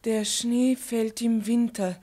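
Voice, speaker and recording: A woman's voice: a little high, fairly even intonation, slow, warm tone. An actress playing an eager, well-bred young female pupil in a 1950s radio play, polite and schoolgirlish. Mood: earnest, eager. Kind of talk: 1950s German-language radio play acting.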